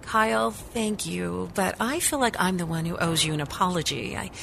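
An actress playing an earnest, caring news reporter in an audio drama. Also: female voice, fairly low pitch, moderate pace, vocal fry, fairly bright tone, a little hiss or hum. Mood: amazed, moved.

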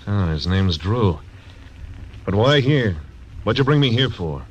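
An actor playing a tough frontier marshal who narrates dryly and speaks tersely, in 1940s radio acting style. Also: male voice, on the low side, lively intonation, unhurried, muffled recording. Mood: wary, dry. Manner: terse, dry, laconic. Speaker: narrator and lead.

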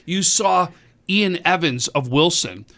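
Man, booming sports-radio regular, eager and rambling, bright forceful tone. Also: takes it slowly, creaky. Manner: excited, rambling, repeats words. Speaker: high school sports commentator and event organizer. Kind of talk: casual radio sports talk.

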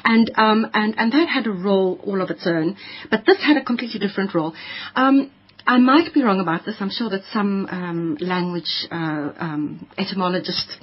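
Husky woman, hesitant and thoughtful. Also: mid-range voice, moderate pace, muffled recording. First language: Afrikaans. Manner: thoughtful, many ums and restarts. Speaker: poet, interview guest. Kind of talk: reflective interview answers.

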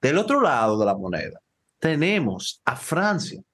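A man co-hosting a football podcast, talking fast and excitably with lots of slang and jumping in over his co-host. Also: a little high, husky. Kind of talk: casual football chat.

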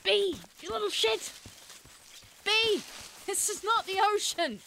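An actress playing a sardonic, excitable young woman who grumbles and swears her way through an audio drama adventure. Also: very high-pitched, slow, a little hiss or hum, full character voice. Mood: exasperated. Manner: sarcastic, grumbling, casually sweary. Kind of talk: naturalistic audio drama dialogue.

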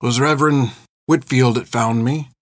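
A gruff old reformed pirate, played by a male narrator, haunted and fierce yet tender underneath. He talks in folksy, ungrammatical seaman's speech, in a dramatic audiobook performance. The sound is very dry and close. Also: mid-range voice, moderate pace, dry recording, lightly acted. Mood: subdued, remorseful. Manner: folksy, ungrammatical rustic old-sailor talk. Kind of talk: dramatic audiobook character acting.

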